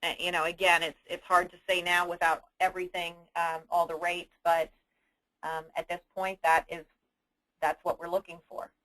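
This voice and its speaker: A woman hosting a conference call briefing, answering submitted questions in an explanatory, businesslike and somewhat halting manner. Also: lowish voice, flat delivery, bright, forceful tone, poor audio. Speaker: host of an insurance agents' conference call. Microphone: telephone conference line.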